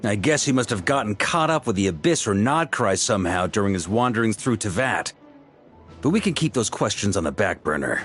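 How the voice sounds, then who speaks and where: An actor playing an authoritative knight commander in a video game, measured and confident, speaking formally and deliberately. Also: male voice, on the low side, big pitch swings, a bit bright, soft background music. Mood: grave, explanatory.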